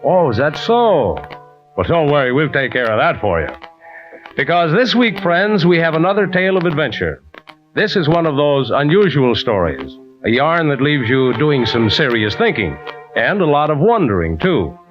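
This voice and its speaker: An actor playing a genial adventurer who introduces tales deliberately and folksily in 1940s radio style. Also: male voice, fairly high pitch, big pitch swings. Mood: inviting. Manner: deliberate, folksy, addresses listeners as friends. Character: genial adventurer storyteller introducing a weekly tale. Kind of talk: 1940s radio acting, hosting narration. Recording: dull old radio recording with music.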